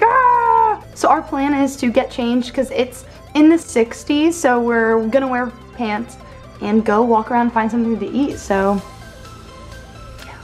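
A young woman under twenty-one, chatting and laughing as she films a casual travel vlog on a handheld camera. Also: high-pitched. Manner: giggly, chatty, often laughing. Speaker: vlogger. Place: hotel room and streets.

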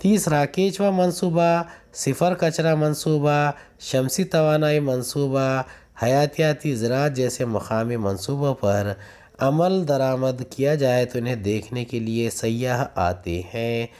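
A man's voice: medium pitch, slow pace, darkish tone. A man, a school teacher reading textbook questions and answers aloud in an instructional way, point by point. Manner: instructional, announcing numbered points.

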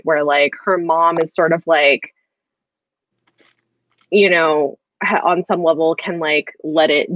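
Lower-pitched woman chatting, dull call audio. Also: no room sound. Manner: hesitant, rambling with frequent "like". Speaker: book discussion host. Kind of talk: casual livestream book chat. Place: small room at home, video call. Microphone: earbuds on a video call.

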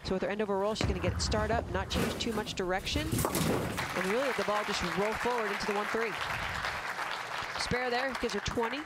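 A man with a fairly high voice explains bowling technique shot by shot, analytical and detailed. Clear background noise runs under him. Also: steady tone, fairly quick, over a noisy crowd. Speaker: color commentator. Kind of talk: analytical sports commentary.

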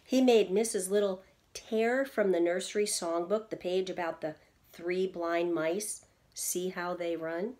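A middle-aged woman reading aloud from a children's book like a teacher, addressing young listeners in a deliberate, explanatory way. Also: fairly low pitch, fairly even intonation, darkish tone. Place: indoors at home, seated in an armchair in a small room.

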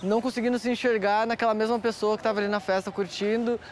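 A man's voice: sits high, fairly even intonation, fast talker. A Brazilian man in his twenties talking casually in an outdoor interview. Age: 20s. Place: outdoors on a busy campus square.

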